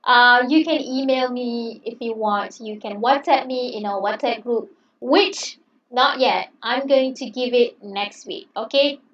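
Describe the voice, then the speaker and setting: A woman's voice: on the high side, a little slow, fairly bright tone, slight background noise. Roomy, distant woman lecturer, non-native English. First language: not English. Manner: encouraging, chatty, repeats "okay". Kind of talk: online class introduction. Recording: roomy, distant, dull sound.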